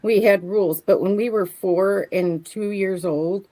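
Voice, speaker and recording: A woman's voice: medium pitch, fairly even intonation, unhurried, warm tone, low-quality recording. A middle-aged woman co-hosting a livestream in casual conversation. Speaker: livestream co-host. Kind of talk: casual chat.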